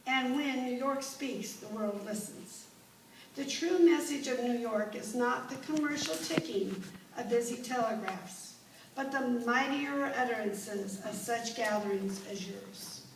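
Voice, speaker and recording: A woman playing an impassioned advocate for the blind, reciting a formal, measured speech in a declamatory dramatic style. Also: on the high side. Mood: stirring, hopeful. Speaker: performer in a dramatization. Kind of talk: dramatic recited oration before an audience. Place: indoor room, recorded from a distance. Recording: roomy, distant mic, background noise.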